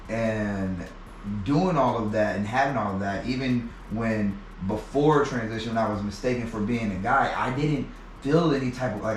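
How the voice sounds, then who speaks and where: A man's voice: medium pitch, slight background noise. Man telling his story in an echoey room. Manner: halting, many restarts and repeated words. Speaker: interview guest. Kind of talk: personal storytelling in conversation. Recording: echoey room, mic at a distance.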